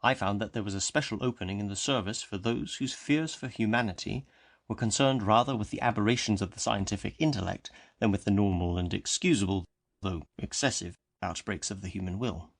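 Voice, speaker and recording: Man playing a solemn, gravelly policeman. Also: lowish voice. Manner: slow, measured and solemn. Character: calm, solemn educated male policeman. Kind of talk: audiobook character reading.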